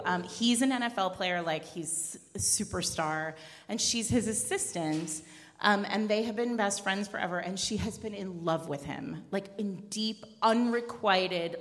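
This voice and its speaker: A woman chatting casually and emphatically about books as a podcast co-host at a live event, gushing as she goes. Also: medium pitch. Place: live event room with audience. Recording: echoey hall.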